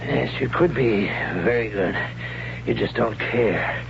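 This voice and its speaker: An older warrior who teaches swordsmanship in a radio play. He is gruff and blunt, giving instruction like a veteran. The sound is dull and slightly noisy, like old radio. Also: on the low side, expressive, slow, exaggerated voice. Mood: blunt, grave. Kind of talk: 1970s radio drama acting.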